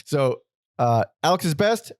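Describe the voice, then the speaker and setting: A man's voice: fairly high pitch, big pitch swings, measured pace, a little mellow, no echo. Male podcast host, casual and rambling, curses freely, dry close mic. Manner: rambling, swears casually, laughs often. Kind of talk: casual music discussion.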